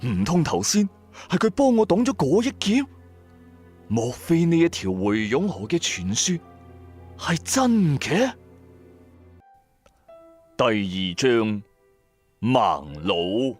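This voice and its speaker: A man with a Hong Kong Cantonese accent telling a first-person story in an expressive, colloquial way, with chatty asides to the listener. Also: medium pitch, takes it slowly, long pauses. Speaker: storyteller, narrator. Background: background music.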